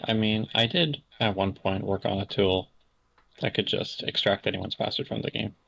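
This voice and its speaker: A man's voice: lowish voice, flat delivery, normal speed, creaky, fairly bright tone. A young man from Canada, a podcast guest talking in a casual, hesitant way full of fillers and restarts. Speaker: interview guest.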